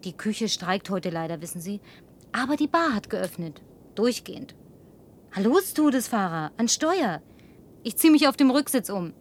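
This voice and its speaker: A woman's voice: medium pitch, very animated, measured pace, a little hiss or hum. An actress in a German radio play, playing a flirtatious, confident woman with a playful, teasing manner. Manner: teasing, coquettish. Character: flirtatious, confident, playful woman driving instructor in post-apocalyptic city.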